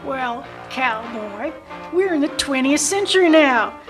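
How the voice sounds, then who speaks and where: A woman's voice: sits high, expressive, unhurried, over music. A woman playing a brassy, flirtatious, bossy saloon madam with folksy frontier speech in an amateur radio western. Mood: sassy. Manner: sassy, folksy frontier grammar. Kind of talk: amateur old-time radio play acting.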